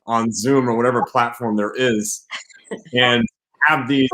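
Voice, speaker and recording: A man's voice: medium pitch, fairly even intonation, unhurried, fairly bright tone, rough recording. A man in an online panel discussion, speaking loosely and casually with frequent filler words as he thinks aloud. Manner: loose, filler-heavy, uses "like" often. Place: online video call.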